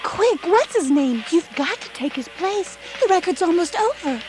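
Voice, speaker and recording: An alluring female schemer in a radio comedy. The voice is high and very animated, urgent, with music behind it. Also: normal speed, rough recording. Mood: coaxing, urgent. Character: sultry, urgent female accomplice seducing a disc jockey. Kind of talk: satirical radio play acting.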